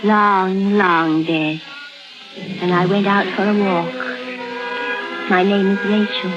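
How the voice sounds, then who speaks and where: A woman's voice: mid-range voice, level tone, takes it slowly, lightly acted. An actress playing a fragile, guarded, haunted woman in a 1950s suspense radio drama, telling her story with dreamy drama. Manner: dramatic first-person storytelling, shifting from wistful to insistent. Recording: dull vintage radio recording with music.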